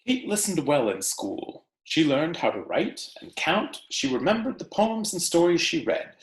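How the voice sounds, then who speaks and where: A man reads to young students like a teacher at story time. His pitch moves up and down freely over slow, deliberate phrases. The room adds a noticeable echo around his voice. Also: big pitch swings. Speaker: teacher reading aloud to students. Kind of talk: children's read-aloud with casual asides.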